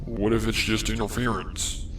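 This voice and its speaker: A man voicing a skeptical pony sheriff in a fan fiction audio drama, with a slow, drawn-out delivery. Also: on the low side, level tone, far from the mic, music in the background, natural voice, rough recording. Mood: puzzled. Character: skeptical pony sheriff, gruff male authority.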